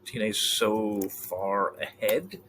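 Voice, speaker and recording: A man co-hosting a casual podcast chat, animated and swearing freely, often trailing off mid-thought. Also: fairly low pitch, unhurried, slight background noise. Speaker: podcast co-host.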